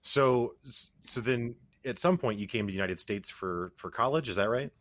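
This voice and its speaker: A man's voice: mid-range voice, normal speed, dry recording. A man hosting an interview podcast, talking casually with stammering restarts and easygoing asides. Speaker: podcast host.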